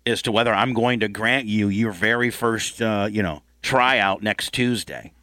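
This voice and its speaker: A man's voice: on the low side, unhurried, sharp tone, dry recording. A man hosting a loose comedic radio show, rambling and bossy as he teases the people around him.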